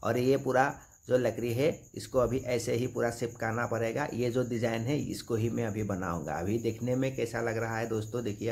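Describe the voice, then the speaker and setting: A man's voice: medium pitch, gravelly, darkish tone. A young man narrating an informal carpentry how-to, explaining each step with some repetition. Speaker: carpenter demonstrating on own channel.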